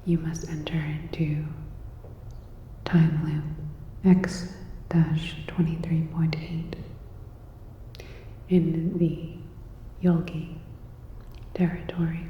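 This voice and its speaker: A woman whispering a scripted sci-fi ASMR roleplay as a calm, clinical robot repair technician, soft, breathy and gently instructive. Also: low voice, extremely slow, long pauses, echoey room, in a large hall, lo-fi sound, slight background noise.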